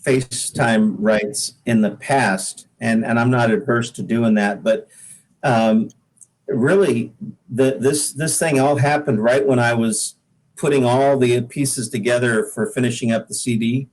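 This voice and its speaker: Guy musician on a casual interview, average pitch, keeps repeating words while he thinks. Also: steady tone, unhurried, poor audio. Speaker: guest singer-songwriter.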